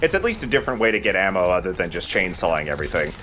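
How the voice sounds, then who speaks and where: A man's voice: low voice, sing-song, rapid-fire, pushed and bright, slight background noise. A man giving casual, explanatory gameplay commentary in an informal way, with some swearing. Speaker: let's play host and player. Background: game music.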